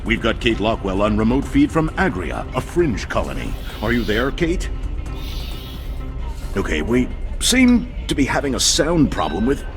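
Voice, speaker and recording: An actor playing a pompous, slanted TV news anchor in a science fiction video game. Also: male voice, mid-range voice. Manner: pompous, theatrical newsreader delivery. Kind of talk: parody news broadcast in a game. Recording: music behind the voice.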